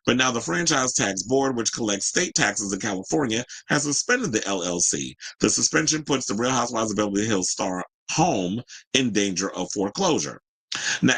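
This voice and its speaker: A man's voice: on the low side, fairly bright tone, poor audio. An American man hosting a celebrity news show, reading stories out and breaking off into sassy, colloquial, casual asides. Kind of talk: casual gossip commentary mixing read-out news with ad-libbed asides.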